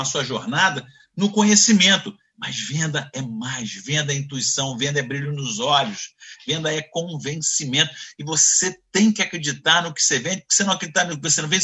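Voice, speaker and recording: A man in his fifties with a Carioca accent, telling his career story in an interview and acting out the voices in his anecdotes. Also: a little high. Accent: Carioca Portuguese. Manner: animated, acts out voices in anecdotes. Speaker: guest.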